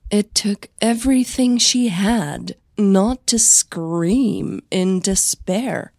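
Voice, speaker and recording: Woman narrating audio fiction, flu voice, kinda raspy, super close and dry, takes her time. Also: medium pitch, animated, drawn-out words, darkish tone, no room sound. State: flu-affected voice. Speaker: narrator and host of a fiction podcast. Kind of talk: scripted dramatic narration.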